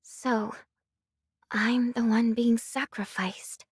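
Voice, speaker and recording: Gentle, high cyborg angel girl. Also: fairly even intonation, drawn-out words, breathy edge, no room sound, studio quality, heavily acted. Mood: resigned. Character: gentle, subdued young cyborg angel woman. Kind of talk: anime game voice acting.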